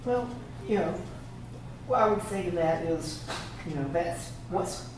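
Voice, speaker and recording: A man giving a relaxed, conversational conference talk to a live audience, laughing easily as he goes. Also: sits high, a little slow. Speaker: conference presenter. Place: conference room. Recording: echoey room, faint background hum.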